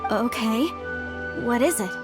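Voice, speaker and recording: A high-pitched, earnest young female archaeologist from a video game, voiced by an actress. She is principled and politely insistent, with faint music behind her. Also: measured pace, natural voice. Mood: curious.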